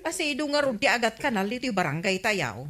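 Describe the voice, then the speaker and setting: A gossipy village neighbour with an Ilocano accent. She complains and prods with lively swings of pitch and a bright, forceful tone. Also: brisk. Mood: gossiping. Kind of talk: regional radio comedy drama acting.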